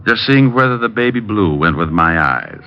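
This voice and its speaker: A man's voice: lowish voice, sing-song, normal speed. A man playing a flippant, teasing private detective in mid-century radio drama style, full of slang. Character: wisecracking, playful male private detective, teasing his girlfriend. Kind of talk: 1940s-50s radio detective drama acting. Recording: dull old-time radio recording.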